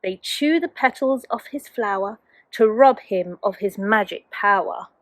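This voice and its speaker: A woman's voice: mid-range voice, lively intonation, a little slow, no echo. A woman, a teacher, reading a children's storybook aloud expressively to young children.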